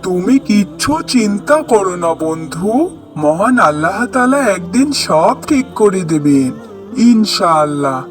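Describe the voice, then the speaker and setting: A performer voicing a kindly, wise talking tree in a children's cartoon, calm and comforting. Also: mid-range voice, a little slow, lightly acted. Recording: music behind the voice.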